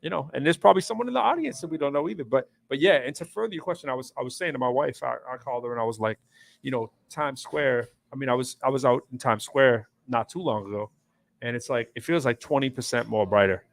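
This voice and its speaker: A man's voice: medium pitch, quick pace, vocal fry. A man hosts a casual live stream from a hotel stay, winding through stories. He keeps restarting his sentences and filling the gaps with "you know" and "um" in a mid-range voice. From United States East Coast. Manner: rambling storyteller with many fillers and restarts. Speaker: show host. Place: hotel area in New York City.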